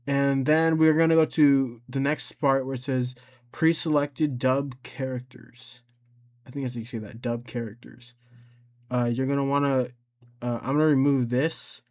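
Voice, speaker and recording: A man gives an informal computer tutorial in a mid-pitched voice. He talks off the cuff and haltingly, often repeating a step or correcting his own wording as he goes. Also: fairly even intonation, darkish tone. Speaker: tutorial creator. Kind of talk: casual unscripted screen-recorded tutorial. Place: voice-over for a screen recording.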